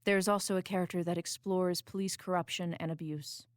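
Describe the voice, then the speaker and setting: Female announcer for content advisory: clear smooth tone, slightly low, careful scripted read, dry sound. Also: fairly even intonation, fairly quick, no echo. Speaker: announcer reading content warning.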